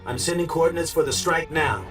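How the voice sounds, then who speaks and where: A man's voice: fairly high pitch, a little fast, close to an everyday voice. A man playing a determined military colonel in a robotic suit in an action video game, talking urgently in clipped military phrases. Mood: commanding, urgent. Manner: clipped military radio talk. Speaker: soldier. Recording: loud music, voice sounds distant.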